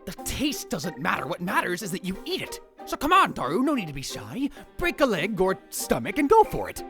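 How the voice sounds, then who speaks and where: A man plays a grandiose young mad scientist from a visual novel, theatrical and dramatic. His pitch swoops widely between musing and exclamation, and music plays behind him. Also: wildly swooping pitch, brisk, heavily acted. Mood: excited, bossy. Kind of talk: visual novel fan dub, first-person narration and dialogue.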